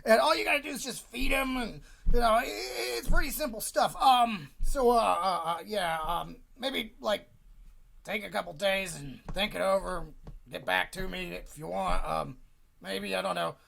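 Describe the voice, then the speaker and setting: Someone doing a gravelly, sheepish slacker friend. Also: medium pitch, fairly bright tone. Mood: pleading, wheedling. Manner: halting, mumbling, hesitant. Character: sheepish, wheedling slacker friend leaving a voicemail.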